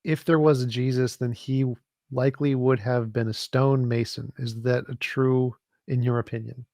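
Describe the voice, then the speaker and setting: A man in a casual podcast conversation who explains his views in a relaxed way and reads out viewer questions. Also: mid-range voice, moderate pace, no room sound, clean studio sound. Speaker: podcast guest reading chat questions.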